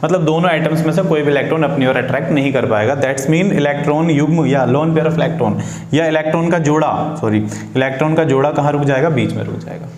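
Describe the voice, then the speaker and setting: A man's voice: fairly high pitch, fairly quick, a little mellow. Man, informal teacher, repeats key words, self-answered questions, English terms mixed in, roomy echo, faint noise. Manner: mixes Hindi with English technical terms. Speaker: chemistry teacher. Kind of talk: informal classroom-style lesson for students.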